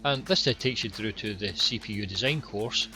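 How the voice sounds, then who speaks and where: A Scottish man guiding viewers through his online course with plain, unhurried explanations and a few ums and repeated words. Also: lowish voice, bright, forceful tone, faint music behind. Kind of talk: screen-recorded course walkthrough.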